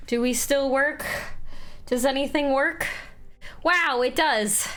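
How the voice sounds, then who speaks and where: A woman's voice: high voice, slow pace, pushed and bright. A woman streamer with a congested voice, casually chatting and greeting her viewers by name. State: congested, clearing throat. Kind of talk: casual livestream chat.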